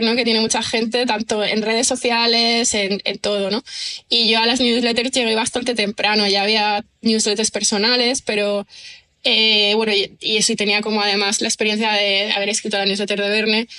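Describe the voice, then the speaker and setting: A chatty woman from talks easily as a podcast guest. Her voice sits a touch high and rings bright. She strings thoughts together with fillers and tag questions. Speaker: guest writer. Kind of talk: relaxed podcast conversation.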